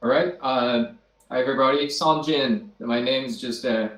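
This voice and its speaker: A man in his fifties from China, native Chinese speaker, introducing himself as a guest on a video call, measured with frequent fillers. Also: mid-range voice, fairly bright tone. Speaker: guest, company founder. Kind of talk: self-introduction. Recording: roomy echo.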